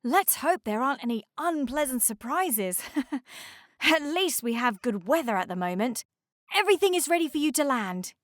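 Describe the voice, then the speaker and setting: Male icebreaker ship for a children's story, played by a woman: friendly, confident, hearty, higher-pitched. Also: animated, normal speed, dry recording, clean studio sound. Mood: pleased, hopeful.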